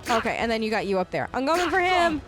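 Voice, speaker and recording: A woman's voice: a little high, big pitch swings, fast, music in the background, poor audio. A woman streamer giving casual, playful in-character commentary on a fantasy game, chatting to companions and pets. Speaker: video game streamer role-playing her character.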